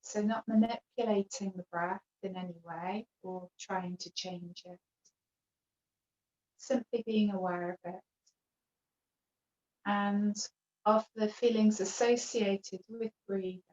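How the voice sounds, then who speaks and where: A woman teaching yoga, guiding a relaxation practice with gentle, unhurried phrasing and long pauses. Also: mid-range voice, steady tone, echoey room. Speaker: yoga teacher leading a guided relaxation class. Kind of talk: guided meditation instruction. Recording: dull, lo-fi video call sound.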